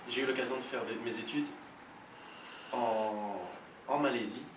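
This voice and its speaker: A young man from Belgium, answering interview questions in a calm, measured way with frequent hesitations. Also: medium pitch. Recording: echoey room, voice far from mic, noisy.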